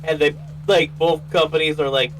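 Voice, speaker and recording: A man's voice: fairly high pitch, flat delivery, normal speed. A man chatting casually during a game stream, rambling and often restarting his sentences. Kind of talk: casual chat during gameplay. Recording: noisy with hum.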